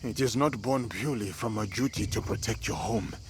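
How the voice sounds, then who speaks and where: An actor playing an honourable, steadfast samurai in a video game, speaking in measured, formal phrases. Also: male voice, on the low side, lightly acted. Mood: admiring. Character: honourable, steadfast male samurai swordsman, calm and respectful. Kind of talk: dramatic video game acting.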